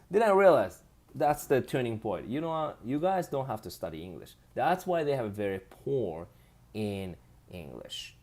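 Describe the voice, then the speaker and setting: A man's voice: medium pitch, very animated, a little slow, a little mellow. A man with a Japanese accent hosting a casual live stream, reading viewer comments aloud and laughing often as he chats.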